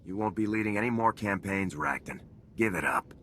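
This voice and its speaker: Resolute sci-fi major: lowish, hard-edged, commanding, clipped game-cutscene delivery. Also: male voice, lively intonation, a little fast, fairly bright tone, slight background noise. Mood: defiant. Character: stern, resolute male Republic military major, squad leader. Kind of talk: video game cutscene acting.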